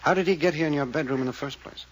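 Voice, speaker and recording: An actor in a 1940s radio drama playing a steady male investigator who presses with questions. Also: on the high side, rapid-fire, close to an everyday voice, low-quality recording. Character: calm, probing adult male investigator.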